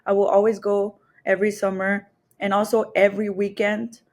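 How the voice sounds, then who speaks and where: A woman's voice: mid-range voice, level tone, moderate pace, darkish tone. A woman from the Texas and Mexico border with a Mexican Spanish accent, telling personal stories casually and candidly as a podcast guest, thinking aloud as she goes. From Texas and Mexico border region.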